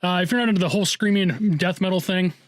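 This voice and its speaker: A man's voice: high voice, quick pace, noisy background. A middle-aged American man hosting a radio music show, chatting informally between songs in a conversational way. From Seattle area, United States. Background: music, guitar.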